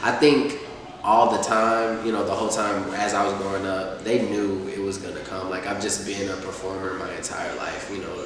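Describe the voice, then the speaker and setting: A man in his twenties from Atlanta, talking in a relaxed, laid-back way as a rapper being interviewed, often laughing and filling with "you know". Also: low voice. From Atlanta, USA. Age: 20s. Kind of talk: casual interview chat. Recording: echoey distant room sound with faint noise.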